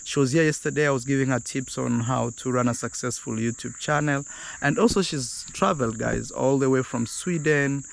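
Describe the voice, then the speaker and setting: A man's voice: medium pitch, moderate pace, creaky. A man hosting a casual travel vlog outdoors, speaking to viewers as "guys". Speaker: vlogger host. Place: outdoors on a road near a beach.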